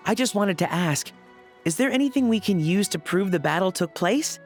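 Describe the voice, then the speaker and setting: A man's voice: high-pitched, lively intonation, normal speed. A man playing a timid, well-meaning, apologetic young man in an anime game, hesitant and pleading. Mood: anxious, apologetic. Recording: background music under the voice.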